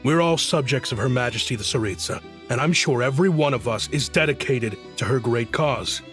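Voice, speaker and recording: A man's voice: mid-range voice, a bit bright, soft background music, lightly acted. Man playing a placating, diplomatic town official. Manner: measured, conciliatory, sighing speechmaker. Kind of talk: video game voice acting.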